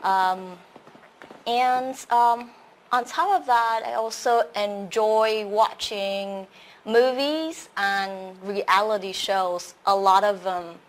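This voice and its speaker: A woman's voice: mid-range voice, slow. A young Vietnamese woman with a Vietnamese accent, hosting a live-streamed English lesson and chatting about herself with many filler sounds. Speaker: host.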